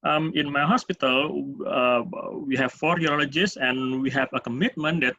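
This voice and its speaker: A man with an Indonesian accent giving a medical lecture as a urologist, speaking in halting, hesitant phrases. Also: a little high, pushed and bright. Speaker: urologist presenting at a medical webinar. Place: online webinar. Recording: dull, roomy webinar audio.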